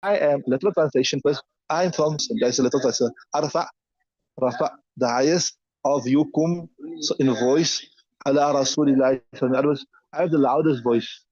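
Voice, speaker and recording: A man teaching a hadith class at a brisk, rapid pace. He reads each line and then explains it plainly. The sound is dry and close, but dull and muffled. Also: medium pitch, a little mellow, no room sound.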